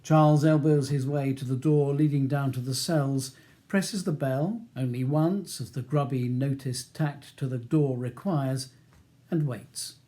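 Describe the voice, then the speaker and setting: A man's voice: medium pitch, fairly even intonation, a little slow, mellow. A man with a British accent reading scripted audiobook narration as the author of the novel.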